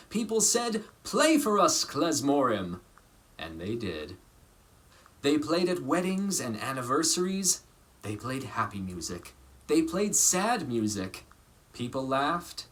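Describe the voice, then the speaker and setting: A man reading a children's story aloud in a measured, expressive way, pausing for emphasis. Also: mid-range voice. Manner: measured, dramatic phrasing with pauses for emphasis. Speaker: storybook reader.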